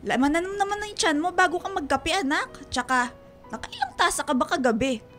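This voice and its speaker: A high-voiced, fretful Filipino mother. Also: moderate pace, exaggerated voice. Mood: tender, fussing. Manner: fussing, nagging, expressive. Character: fretful, doting Filipino mother nagging her daughter. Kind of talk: radio drama acting. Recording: music behind the voice.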